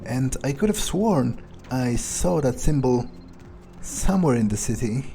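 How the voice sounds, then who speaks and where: A man doing in-character game commentary, thinking aloud in a deliberate, dryly musing manner. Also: medium pitch, expressive, vocal fry, mellow, faint music behind. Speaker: let's player role-playing a vampire character.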